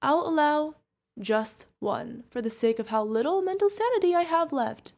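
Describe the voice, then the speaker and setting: A woman's voice: high-pitched, lively intonation, moderate pace. A woman playing a protective older witch mentor, fond, teasing and stern, in an ASMR fantasy roleplay. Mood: exasperated, fond. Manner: affectionate teasing, mock-exasperated. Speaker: voice actor.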